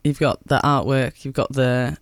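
A man's voice: medium pitch, little pitch movement, moderate pace, dry recording, clean studio sound. Upbeat man radio host. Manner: upbeat, enthusiastic, jokey. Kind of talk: community radio interview.

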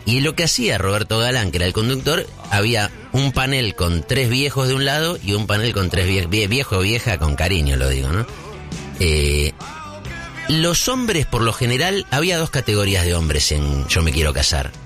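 Deep-voiced Buenos Aires man with lively intonation. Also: moderate pace, faint music behind. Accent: Rioplatense Spanish, Buenos Aires. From Buenos Aires, Argentina. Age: 40s. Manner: rambling, conversational, seeks agreement with tag questions. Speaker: host delivering a comic monologue. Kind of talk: casual comic monologue, thinking out loud.

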